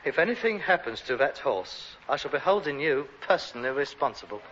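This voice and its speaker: Actor doing whiny pretentious cockney son in an old sitcom, voice swings all over, muffled noisy tape. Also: male voice, mid-range voice, very animated, fairly quick, lightly acted. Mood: indignant ranting. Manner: whining, dramatic, indignant. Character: exasperated, pretentious rag-and-bone man, put-upon grown son. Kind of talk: British sitcom acting.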